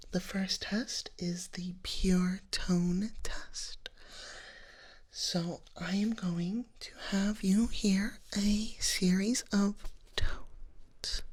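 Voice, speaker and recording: A woman acts out a gentle female dragon nurse in an ASMR exam roleplay. She speaks in a breathy whisper, slow and unhurried, with a soft, reassuring manner. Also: on the low side, full character voice.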